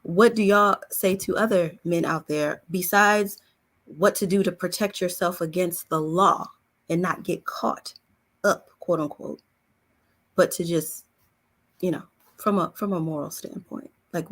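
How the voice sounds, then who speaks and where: A woman's voice: lowish voice, steady tone, measured pace. A woman on a podcast panel, asking her question in a direct, emphatic, conversational way. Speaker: panel guest. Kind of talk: casual panel discussion.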